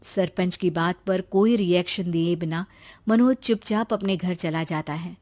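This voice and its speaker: A woman's voice: lowish voice, measured pace, a little mellow. Woman narrating a dramatic story.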